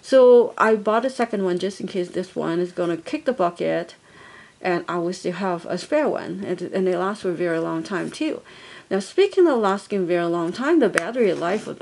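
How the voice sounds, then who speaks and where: A woman's voice: mid-range voice, a little mellow, a little hiss or hum. Chatty woman reviewing a gadget. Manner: chatty, rambling, many fillers and restarts. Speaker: product reviewer. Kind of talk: casual product review.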